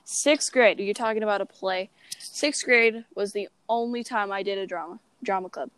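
A woman's voice: medium pitch, normal speed, a bit bright, smooth. A young woman co-hosting a podcast, telling personal stories in a playful, self-mocking, exclamatory way. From Oklahoma, United States. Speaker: podcast co-host. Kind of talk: casual chat, personal storytelling.

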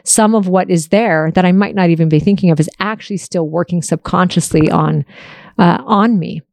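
A woman in her early forties speaks in a slightly low voice, recorded dry with little room sound. She talks casually and openly as a solo host, reflecting on personal things in a confessional, unguarded way. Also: moderate pace, gravelly, a little mellow, dry recording. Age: early 40s. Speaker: podcast host answering listener questions. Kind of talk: candid, casual solo talk to listeners.

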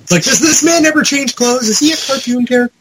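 A man's voice: very high-pitched, quick pace, a loud crowd. A man talking casually and with strong opinions as a podcast host in a pop-culture discussion.

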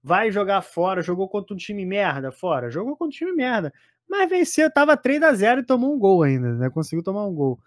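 A man's voice: high voice, big pitch swings, brisk, no echo, studio quality. A Brazilian man chatting casually about football as a commentator, slangy and emphatic, often repeating his phrases for weight.

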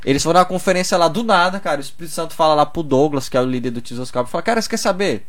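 A man's voice: fairly high pitch, lively intonation, racing. A young man in Brazil, telling stories in a loose, slangy casual chat full of "cara". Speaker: podcast guest.

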